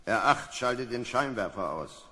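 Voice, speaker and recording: A man playing a measured, analytical astronaut with a commanding manner in an old German radio play. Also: mid-range voice, expressive, pushed and bright. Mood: matter-of-fact. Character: calm, reasoning male astronaut. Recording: reverberant hall with background noise.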